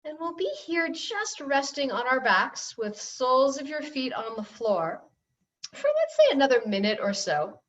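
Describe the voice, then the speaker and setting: A woman instructor calmly guiding gentle yoga and relaxation in an unhurried, soothing way. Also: high-pitched. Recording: roomy, distant, slightly muffled class recording.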